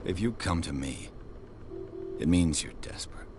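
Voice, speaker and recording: A man as a cynical drinking detective in a game, very low deep voice, dry curt lines, faint hum behind. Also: moderate pace, close to an everyday voice. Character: weary, hard-drinking male private detective. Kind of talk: game voice acting.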